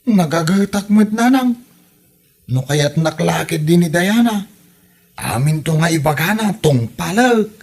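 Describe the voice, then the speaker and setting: A man as a slow, husky, childlike suitor. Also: on the high side, animated, darkish tone. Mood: eager, protesting. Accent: Ilocano. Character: simple-minded, childlike, lovesick grown village son. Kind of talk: Ilocano comedy radio drama.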